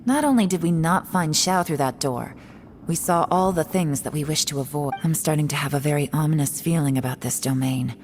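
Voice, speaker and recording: A woman playing a cool, shrewd, self-assured investigator in a video game, with a knowing, deliberate delivery. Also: sits low, a little mellow, soft background music, natural voice. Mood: wary.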